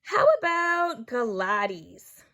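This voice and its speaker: A woman voicing a young granddaughter in a children's storybook, expressive and questioning. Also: fairly high pitch, very slow, exaggerated voice. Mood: warm. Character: doubtful young granddaughter who questions and complains.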